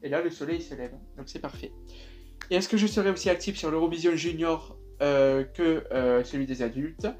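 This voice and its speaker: A man's voice: on the high side, moderate pace, darkish tone, faint music behind. A young man in his twenties with a sing-song southern French accent, chatting upbeat in a casual vlog with lots of fillers. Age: 20s. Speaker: YouTube reaction creator.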